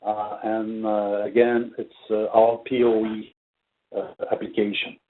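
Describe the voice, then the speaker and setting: Halting male presenter on a phone-like line. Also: on the low side, level tone. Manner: halting, hesitant delivery with very frequent filled pauses. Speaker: product trainer presenting for a company. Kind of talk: unscripted webinar product presentation. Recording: narrow, tinny phone-like line.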